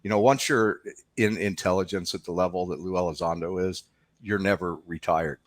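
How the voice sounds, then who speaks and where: A man with a low-pitched voice talks as a guest in a conversational interview. He explains things informally, and the sound is dry and close, without room echo. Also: fairly even intonation, moderate pace.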